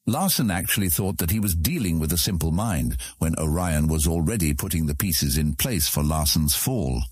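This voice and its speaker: A man with a husky voice reading scripted fantasy narration as an audiobook narrator. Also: rumbling low, expressive, moderate pace, no room sound, studio quality.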